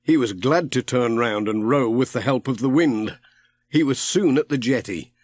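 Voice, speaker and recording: A man narrating a children's audiobook in a measured, expressive storytelling style. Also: medium pitch, no echo.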